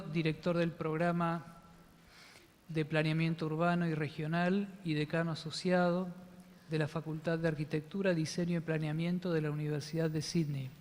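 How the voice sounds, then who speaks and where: Male moderator, slightly high voice, formal read-out introduction, long hall reverb. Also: a little mellow. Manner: reads a formal biography, with some hesitations. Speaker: conference moderator.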